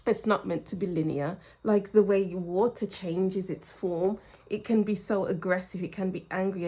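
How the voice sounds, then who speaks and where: A woman giving a pick-a-card tarot reading, speaking in a reflective way and repeating phrases for emphasis, with a slightly croaky throat. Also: medium pitch, dark tone. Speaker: tarot reader.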